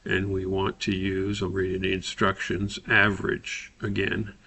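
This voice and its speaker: A man instructor talking through a software tutorial, explaining and thinking aloud as he goes. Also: low voice, completely flat delivery, measured pace, gravelly, a little hiss or hum. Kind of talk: spoken screen-recorded software tutorial.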